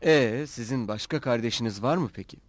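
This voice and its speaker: A man playing a composed, authoritative young governor in a cartoon dub, with a measured manner. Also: mid-range voice, no echo, lightly acted.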